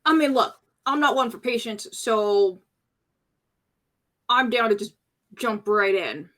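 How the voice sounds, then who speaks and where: A woman with a bright, fairly high voice plays a tabletop roleplay game. She talks casually and brashly, in loose slang. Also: takes it slowly. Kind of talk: casual game chat, partly in character.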